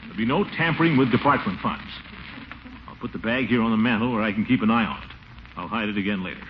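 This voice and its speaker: An actor playing a pompous, anxious middle-aged commissioner in an American 1940s radio comedy. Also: male voice, fairly low pitch, fast talker, natural voice. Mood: pompous, wary. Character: pompous, jittery middle-aged man, a nervous town commissioner. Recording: scratchy, muffled 1940s radio recording.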